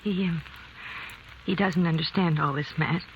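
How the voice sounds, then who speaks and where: A woman playing a dignified farm wife and mother in a vintage-style radio drama, soft and resigned. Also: low-pitched, steady tone, normal speed, dull audio, very noisy, close to an everyday voice. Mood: quiet resignation.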